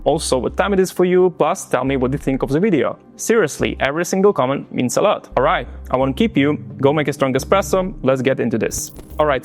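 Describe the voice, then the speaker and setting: A man from Ukraine with a Ukrainian accent, hosting and narrating a true crime story in a chatty way that speaks straight to viewers. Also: mid-range voice. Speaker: true crime channel host.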